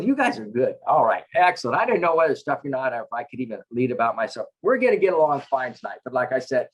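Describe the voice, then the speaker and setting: A middle-aged man, a chaplain, teaching a casual scripture class over a video call, halting with lots of filler sounds and dropping wry asides. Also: mid-range voice, husky, poor audio. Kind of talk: casual online scripture lesson. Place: home with dogs in another room.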